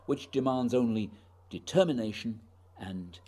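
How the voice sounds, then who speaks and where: An actor playing a dutiful, reserved male polar expedition leader, measured, grave and formal, in a radio play. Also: mid-range voice, warm tone, lightly acted. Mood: gently firm.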